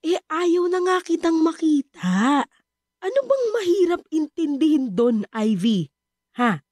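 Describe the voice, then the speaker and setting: A woman's voice: sits high, big pitch swings, unhurried, darkish tone, no room sound, studio quality. An actress playing a harsh, bitter middle-aged Filipino mother in a radio drama. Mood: irritated, harsh.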